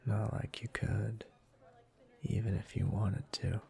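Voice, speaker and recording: Man acting a slow, whispering, smug seductive bully. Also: on the low side, level tone, mellow, exaggerated voice. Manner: slow, teasing, smug, breathy murmuring. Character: teasing, dominant male bully turned seductive lover. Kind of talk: intimate roleplay audio, whispered ASMR.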